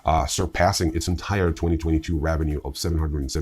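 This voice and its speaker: Male newsreel host, very low husky register, halting read with dry informal asides. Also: fairly even intonation, darkish tone. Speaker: news host. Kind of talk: scripted crypto newsreel reading with informal asides.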